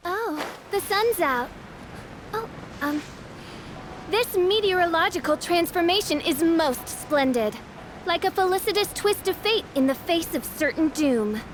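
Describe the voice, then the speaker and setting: A woman voicing a small, childlike, excitable female fairy companion in a video game, with a squeaky voice. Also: measured pace, noisy background. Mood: mock-eloquent. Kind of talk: English video game voice acting.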